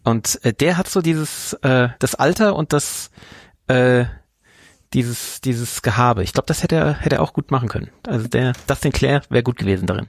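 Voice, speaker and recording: Guy on a film podcast, medium pitch, earnest, thinking out loud, dry recording. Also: normal speed, no echo. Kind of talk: casual film review chat.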